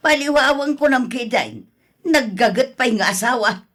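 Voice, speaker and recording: An actress playing a frank relative who reasons and reproves in an Ilocano radio drama, with an Ilocano accent. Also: female voice, on the high side, animated, moderate pace, fairly bright tone. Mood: persuading.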